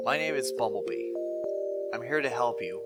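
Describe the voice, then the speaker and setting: Man as an earnest robot hero, loud music. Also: medium pitch, animated, moderate pace, natural voice. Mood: earnest, gentle. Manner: polite, sincere, plain-spoken. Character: earnest, friendly heroic robot helper.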